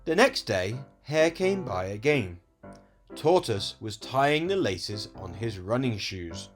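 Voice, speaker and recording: A man reading a children's story aloud as host and storyteller, in an expressive, explanatory way. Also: medium pitch, takes it slowly, faint music behind.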